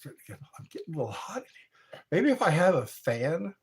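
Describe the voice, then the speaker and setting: A man's voice: fairly high pitch, big pitch swings, normal speed. A middle-aged man hosting a live stream, rambling in casual chat, reacting to viewers and laughing at his own jokes.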